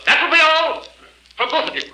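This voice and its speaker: An actor playing a stern, commanding judge who speaks in clipped tones in an old-time radio drama. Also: male voice, very high-pitched, expressive, brisk, bright, forceful tone, full character voice. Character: stern male judge, impatient authority. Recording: faintly hissy old radio recording.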